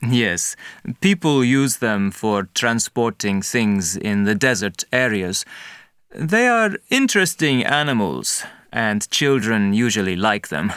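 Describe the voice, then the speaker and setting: A man's voice: mid-range voice, fairly bright tone, clear, resonant tone, no echo, studio quality. A man from Egypt talking with a partner in a paired speaking-task discussion.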